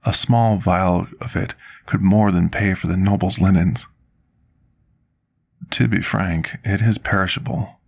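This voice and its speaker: Guy doing a timid potion master for asmr roleplay, deep husky soft voice, formal and deferential, bit of fry. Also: steady tone, unhurried, heavily acted. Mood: humble, hesitant. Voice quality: husky, gravelly. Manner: formal, polite, submissive, archaic wording. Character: gentle, timid, deferential male potion master serving a dragon.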